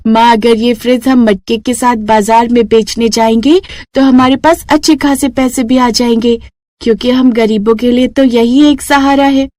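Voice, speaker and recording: A woman voicing an eager, pleading ten-year-old village girl in a children's story, excitable and insistent. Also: a little high, normal speed, dry recording, natural voice. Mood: delighted. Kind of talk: children's moral story acting.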